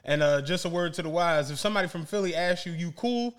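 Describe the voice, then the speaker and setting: A man's voice: high-pitched, fast, a bit bright, clean tone. A man with a Philadelphia accent hosting a casual chat, slangy and swearing freely. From Philadelphia, USA. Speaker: podcast host. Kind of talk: casual round table chat.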